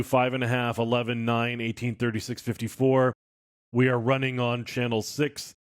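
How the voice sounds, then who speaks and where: A man teaches in the style of a screencast, explaining things as he demonstrates them. His voice sits at an average male pitch. The delivery is informal and unscripted, and the recording is dry, with little room sound. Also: no echo. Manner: informal, unscripted walk-through. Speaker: instructor.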